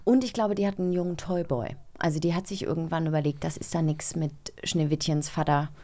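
A woman's voice: low-pitched, fairly even intonation, a little fast, warm tone. Cheeky woman co-hosting a podcast. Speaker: podcast co-host. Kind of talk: casual, joking podcast chat.

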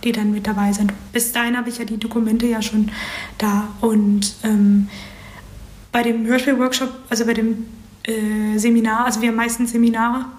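A woman in her twenties from Berlin, explaining things informally in a casual interview with hesitant pauses. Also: fairly high pitch, level tone, darkish tone. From Berlin, Germany. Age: 20s. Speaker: interviewee, creative writing student and audio drama writer. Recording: roomy, echoing interview recording.

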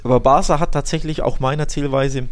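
A man's voice: mid-range voice, fairly even intonation, brisk. Male podcast co-host, emphatic and opinionated, mid-range voice, colloquial sports chat. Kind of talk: casual football podcast chat.

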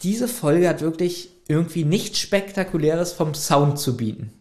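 Man, podcast co-host, fairly high voice, very lively up-and-down delivery, questioning, reading summaries. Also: normal speed. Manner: lively, keeps asking his partner questions. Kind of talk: casual podcast chat, reading plot summaries aloud.

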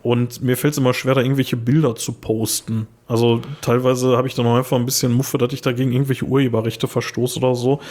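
A slightly hoarse young man co-hosting a casual podcast, rambling with lots of fillers and restarts. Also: medium pitch, flat delivery, quick pace, hardly any pauses, darkish tone. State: a little hoarse. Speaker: podcast co-host. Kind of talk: casual chat.

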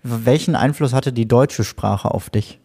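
A man hosting a parish podcast, talking in a chatty, relaxed way with friendly asides. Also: medium pitch, unhurried, breathy edge. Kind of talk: casual podcast interview.

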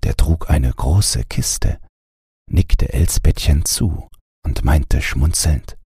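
A man narrating a bedtime audiobook in a calm, scripted storytelling style, close to the microphone. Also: rumbling low, slow, breathy edge, no room sound.

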